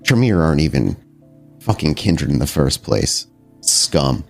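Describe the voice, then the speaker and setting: A stoic, scornful father figure, as a man puts him on for a tabletop game, dropping his voice low and sneering drily. The lines come steady and flat in tone. Faint music plays underneath. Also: unhurried, creaky, heavily acted.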